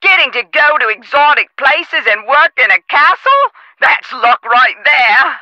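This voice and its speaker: A chatty call centre operator from a video game, with a very bright, forceful voice. Her delivery swoops up and down expressively over a dry, muffled phone line. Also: high-pitched, lively intonation, a little slow, no echo, full character voice. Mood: chatty, wistful. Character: perky, chatty castle staffing phone operator.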